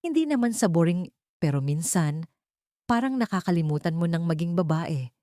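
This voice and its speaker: A woman's voice: lowish voice, animated, normal speed, mellow, dry recording, clean studio sound, natural voice. A woman voicing a complacent, belittling husband in an audio drama, casual and condescending, mixing in English. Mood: breezy, dismissive.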